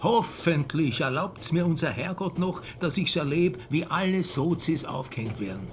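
An actor playing a vain, chattering, sly old Austrian privy councillor in a radio play, with an Austrian accent and a self-important manner. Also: male voice, fairly high pitch, expressive, normal speed, a little hiss or hum. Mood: pompous.

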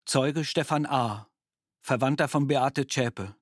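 A male actor as a court reporter in a documentary radio play, reading out testimony neutrally. His mid-pitched voice is restrained and recorded very dry. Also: slow, dry recording, natural voice. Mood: neutral, matter-of-fact. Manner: neutral, restrained, not acted out. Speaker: actor reading trial protocols.

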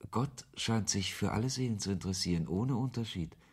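A man's voice: a little fast, a little mellow. An actor playing a brooding, ironic author who narrates his own story in a radio play, with a literary, sardonic manner. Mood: ironic musing. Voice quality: low, husky voice. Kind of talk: first-person radio play narration and dialogue.

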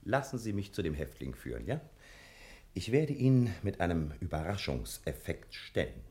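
Male private eye: dogged, sure of himself, lowish voice with lively, emphatic swings, vintage radio drama. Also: normal speed. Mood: insistent, heated. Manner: insistent, confident, emphatic. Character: self-assured, dogged male American private detective. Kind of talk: 1960s German radio drama acting.

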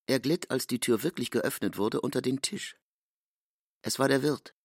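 A man's voice: medium pitch, moderate pace, dry recording, clean studio sound. A man narrating a literary drama from a script.

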